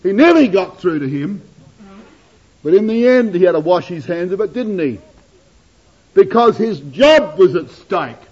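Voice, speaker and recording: A man's voice: animated, a little slow, long pauses, husky. Dramatic preacher man, lo-fi recording. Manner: dramatic rises and drops in pitch and loudness; addresses congregation as brethren and sisters. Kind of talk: sermon. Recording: dull lo-fi sermon recording.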